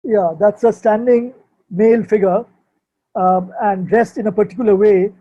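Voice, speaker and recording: A man's voice: sits high, fairly even intonation, normal speed, highs cut off. An older man, explaining things informally and hesitantly, often repeating himself as he searches for words. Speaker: poet and sculptor, guest at a book launch. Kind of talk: informal explanation at an online book launch.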